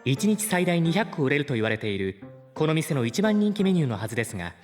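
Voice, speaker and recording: A courteous, lilting mechanical guide. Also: male voice, on the high side, very animated, fast talker, faint music behind. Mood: politely insistent. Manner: courteous, precise, formal. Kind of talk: Japanese superhero audio drama acting.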